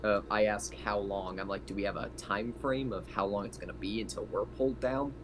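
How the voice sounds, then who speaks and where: A man's voice: lowish voice, steady tone, brisk. A man taking part in a tabletop game as a player, speaking in a casual, questioning way. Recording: clearly noisy background.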